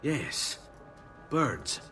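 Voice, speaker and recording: An actor playing a cocky, determined young noble turned assassin in a video game, with an Italian accent and wry delivery. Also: male voice, medium pitch, big pitch swings, drawn-out words, bright, forceful tone, heavily acted. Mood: dry, determined. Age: young adult. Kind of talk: video game cutscene acting. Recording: game dialogue over clear background music.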